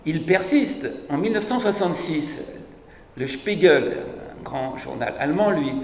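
Older male speaker, hoarse, ironic, with a lively rising and falling voice; desk mic in a big reverberant hall. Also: a little high, unhurried. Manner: ironic, animated, gestures while speaking. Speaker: speaker at a public debate. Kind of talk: lively public talk on philosophy. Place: large hall, seated at a panel table. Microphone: desk mic on a stand. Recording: echoey hall from a distance.

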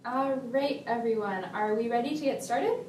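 A woman's voice: fairly high pitch, moderate pace, a little mellow. A young woman leading group introductions in a friendly, upbeat way, recorded in a meeting room. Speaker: group leader. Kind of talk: scripted role-play. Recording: roomy from a distance, faint hum.

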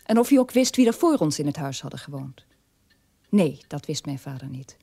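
An actor playing a middle-aged, estranged son in a radio drama, telling his story in a measured way. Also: on the high side, sing-song, creaky, warm tone, husky, no echo, heavily acted. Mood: resigned, estranged.

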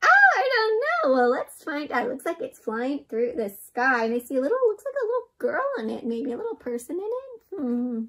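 A young woman reading a picture book aloud to children in an expressive, interactive way, often asking the listener questions. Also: high-pitched, a little fast, hardly any pauses, faint music behind. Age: young adult.

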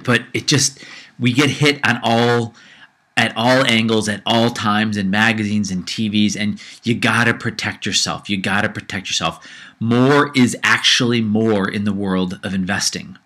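A man's voice: on the low side, fairly even intonation, measured pace, pushed and bright. A man hosting his own podcast, ranting with rising heat and a slight cold in his voice, often repeating himself. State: low-grade cold. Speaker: podcast host. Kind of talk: casual solo podcast rant.

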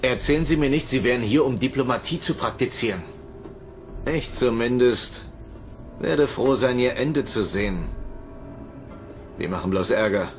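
A man's voice: medium pitch, animated, moderate pace, pauses often, soft background music, close to an everyday voice. Man acting a ruthless, curt alien commander. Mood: angry, contemptuous. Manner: curt, authoritarian. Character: impatient, ruthless male alien experiment commander. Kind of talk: German sci-fi radio drama acting.

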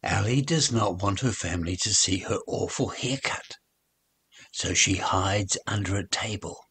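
An older man reading a children's story aloud, with expressive, deliberate phrasing. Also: low voice, husky. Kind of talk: children's read-along story narration.

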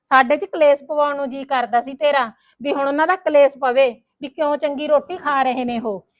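A woman's voice: sits high, steady tone, fairly quick, highs cut off, natural voice. A woman playing a spiteful sister-in-law in a family drama, nagging, accusing and sarcastic. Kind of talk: Punjabi domestic drama dialogue.

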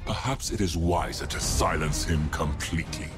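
An actor playing an imperious, ruthless warlord in a game cutscene, with haughty, weighty, theatrical delivery. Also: male voice, very deep voice, normal speed. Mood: grim, calculating. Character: imperious, ruthless male Chaos warlord commanding a war fleet. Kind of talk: dramatic game cutscene acting. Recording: voice under very loud music. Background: loud music.

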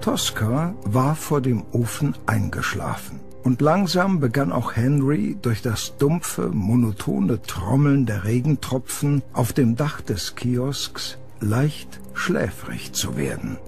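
A man narrating a scripted horror audio drama in a measured, suspenseful storytelling manner. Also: medium pitch, sing-song, creaky, darkish tone, faint music behind. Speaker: narrator of a horror radio play.

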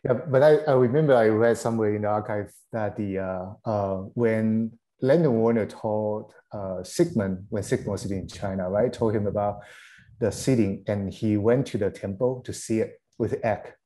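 A man's voice: on the low side, normal speed, warm tone. A man speaking on a video call panel, recalling archive details and checking himself with "right?". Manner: recalling, questioning with tag "right?". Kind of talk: panel discussion.

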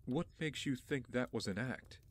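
A man playing an aloof, wry young billionaire in an audio drama, speaking in a dry, measured, haughty way. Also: mid-range voice, close to an everyday voice. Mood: guarded, dry.

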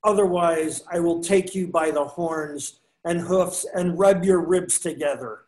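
A man's voice: fairly high pitch, a little slow, darkish tone, close to an everyday voice. An older man playing a devout, stubborn friar in amateur readers theater, with bold, declamatory archaic speech. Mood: threatening. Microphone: computer mic on a video call.

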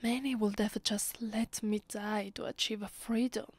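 A woman with an Italian accent playing a haughty, centuries-old vampire mistress in an ASMR roleplay, breathy and often near a whisper, imperious and dramatic. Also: medium pitch, fairly even intonation, unhurried, no room sound, natural voice. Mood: softly grateful. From Italy.

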